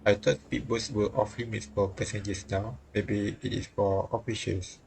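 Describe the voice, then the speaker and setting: Low-voiced man reading aloud, stumbling over words. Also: flat delivery, moderate pace, gravelly, darkish tone, slight background noise, poor audio. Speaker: streamer reading a visual novel. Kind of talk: reading game text aloud on a livestream.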